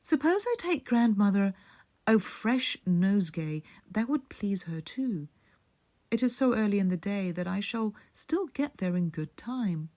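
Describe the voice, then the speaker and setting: A woman's voice: medium pitch, big pitch swings, a little slow, darkish tone. A woman voicing an innocent, sweet little girl in a fairy tale, with a naive, wide-eyed, exclaiming manner. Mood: cheerful.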